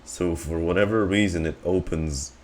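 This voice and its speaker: A man's voice: low voice, moderate pace, a little mellow, slight background noise. A man giving casual live reaction commentary as a game streamer.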